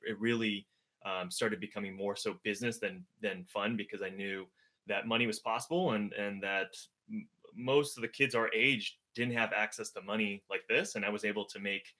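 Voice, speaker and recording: Earnest young man, bright voice. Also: lowish voice. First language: Mandarin. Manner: reflective, earnest, many fillers and restarts. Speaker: guest, older brother. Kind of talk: casual chat. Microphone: video livestream.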